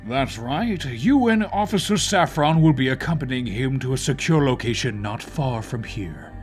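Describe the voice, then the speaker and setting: An actor playing a serious, measured police chief in an audio drama, briefing officers in a grave, deliberate way. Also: male voice, medium pitch, animated, faint music behind, natural voice. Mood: serious, businesslike.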